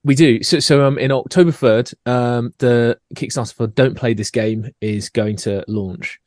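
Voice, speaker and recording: A man with a Scottish accent, a game designer being interviewed on a podcast, talking in a lively way and often repeating himself as he corrects his words. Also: mid-range voice, unhurried, no echo, studio quality.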